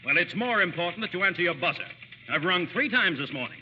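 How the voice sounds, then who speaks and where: An actor playing a brusque, pompous older judge and employer in an old radio drama, speaking with declamatory authority. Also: male voice, sits high, lively intonation, quick pace, a little hiss or hum. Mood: stern, impatient. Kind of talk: 1930s-40s radio acting. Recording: muffled vintage radio recording, echoey.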